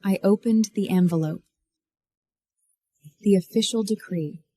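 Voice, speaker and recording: A woman's voice: mid-range voice, a little slow, dark tone, slightly rough, no echo, studio quality. A woman acting a cool, defiant heiress who narrates her own revenge story in a scripted first-person drama. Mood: measured, tense. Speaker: narrator and lead of an audio drama.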